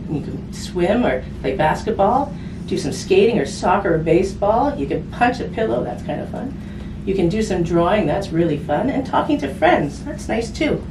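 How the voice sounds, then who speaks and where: A woman reading a picture book aloud to children in a conversational way, with simple casual asides. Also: on the low side. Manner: conversational, simple phrasing aimed at children, with tag questions. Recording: roomy, distant mic with background noise.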